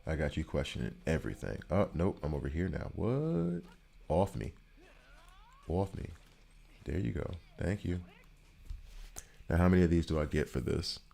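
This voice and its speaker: A man's voice: a bass voice, sing-song, moderate pace, vocal fry, long pauses, mellow. An American man in his thirties, chatting casually with viewers while he plays, rambling and joking as he answers their questions. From United States.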